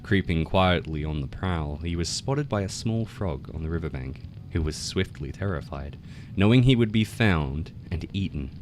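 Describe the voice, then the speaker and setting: A man narrating scripted folktales as a storyteller, with a measured, theatrical bardic delivery. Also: sits low, expressive, darkish tone. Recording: noticeable background noise.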